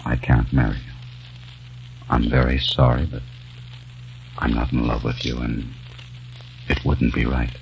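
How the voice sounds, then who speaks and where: An actor playing a grieving young man who narrates and speaks in a grave, hushed, sorrowful way in a 1940s radio drama. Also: very deep voice, flat delivery, a little slow, gravelly, pauses often, slight background noise, full character voice. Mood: quiet, grave. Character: grieving young man haunted by lost love. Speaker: narrator and lead. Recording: dull lo-fi old radio recording with faint music.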